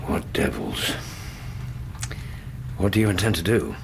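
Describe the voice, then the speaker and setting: An actor playing an earnest, loyal Victorian gentleman companion who narrates a radio drama, with a British accent and an expressive, literary delivery. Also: low-pitched, a little slow, creaky, slight background noise, full character voice. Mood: anxious. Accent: British English.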